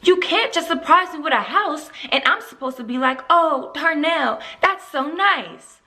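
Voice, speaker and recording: Woman acting an exasperated young mother, high, bright. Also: animated. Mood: indignant. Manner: indignant, rapid-fire questioning. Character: exasperated young mother scolding her partner. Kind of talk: theatrical stage monologue.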